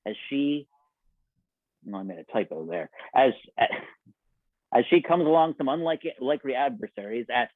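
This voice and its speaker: A man's voice: mid-range voice, expressive, measured pace, long pauses, dry recording. Wry male showman on thin, phone-like audio. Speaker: host and announcer. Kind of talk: scripted radio-show introduction with ad-libs. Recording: thin, phone-like band-limited sound.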